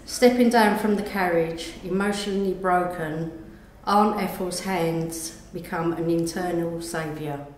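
A woman's voice: on the low side, fairly even intonation, measured pace. A woman reading her own short story aloud as scripted narration. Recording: echoey room with background noise.

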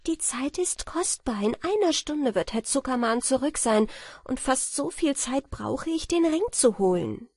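A woman's voice: high voice, expressive, measured pace, a little mellow, no echo, studio quality, voice pushed up, full character voice. A woman voicing a sly, defiant talking magpie in a fairy-tale audiobook, speaking in an insistent, dramatic way. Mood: hurried.

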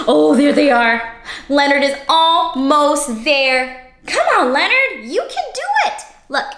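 A young adult woman reading a picture book aloud to young children in an animated, exclamatory, questioning way. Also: sits high, slow. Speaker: children's read-aloud host. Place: small cozy indoor room. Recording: roomy indoor echo.

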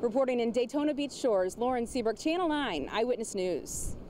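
A woman news reporter with a fairly high voice delivers an emphatic, projected field report. She is outdoors, with light ambient noise around her. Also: expressive, brisk, darkish tone. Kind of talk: live broadcast news reporting. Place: outdoors on a beachfront pier.

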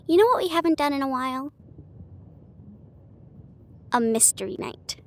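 High-pitched woman in casual intimate roleplay. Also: lively intonation, moderate pace. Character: Sascha, affectionate, bookish female partner chatting at night. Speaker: audio roleplay performer. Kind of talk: intimate acted roleplay monologue. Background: ambient train sound effects.

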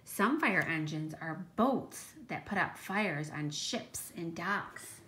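A woman's voice: lowish voice, animated, takes it slowly, pushed and bright, a little hiss or hum. A woman teacher reading a picture book to young children, speaking in an emphatic, child-directed way with questioning asides. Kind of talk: read-aloud storytime with explanatory asides.

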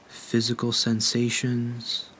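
A man leading a guided meditation in a gentle, unhurried way with measured pauses. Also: medium pitch, completely flat delivery, slight background noise, poor audio.

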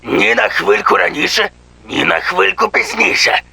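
A man voicing a boastful pirate fox animatronic in a fan-dubbed horror cartoon, gravelly and theatrical, drawing out his words. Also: high voice, normal speed, pushed and bright, exaggerated voice. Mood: gruff, commanding. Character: boisterous male pirate fox animatronic showman, theatrical braggart.

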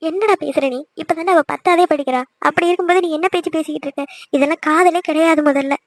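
A woman's voice: very high-pitched, fast, dry recording. A woman playing an anxious, scolding middle-aged mother in a family drama, fretful and emphatic. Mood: doubtful, firm. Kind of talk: melodramatic family audio drama.

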